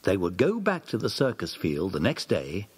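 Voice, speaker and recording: A man's voice: low-pitched, huge pitch swings, normal speed, husky. A man narrating a children's audiobook drama in a scripted, storytelling way. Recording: old cassette audiobook recording.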